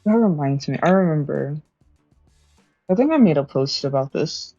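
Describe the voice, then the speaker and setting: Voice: mid-range voice, animated, moderate pace, a little mellow, no echo, dull audio, faint music behind. A young adult streamer chatting casually while studying, rambling, correcting themselves and laughing often.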